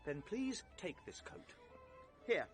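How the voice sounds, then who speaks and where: A hapless young British gentleman, in the manner of a vintage radio play. His fairly high voice swings widely as he exclaims in genteel, self-pitying theatrical style. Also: big pitch swings, takes it slowly, faint music behind, heavily acted. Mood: desperate, indignant. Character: hapless, excitable young gentleman wrongly suspected of murder. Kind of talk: vintage radio drama acting.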